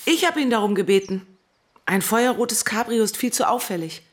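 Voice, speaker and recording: An actress playing a brisk, practical, scheming manager of a home in a darkly comic radio drama. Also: female voice, fairly high pitch, animated, natural voice. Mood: matter-of-fact.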